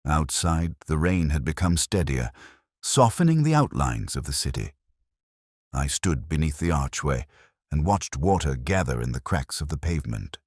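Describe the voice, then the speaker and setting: A man narrating a scripted first-person detective story in a measured, brooding, literary manner. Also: very deep voice, sing-song, no room sound, studio quality.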